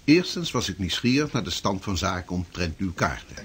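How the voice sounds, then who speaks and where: An actor playing a hearty, canny minister and seafarer in a period radio drama, with expressive, rather pompous old-fashioned phrasing. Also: male voice, deep voice, brisk, a little hiss or hum. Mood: genial, curious.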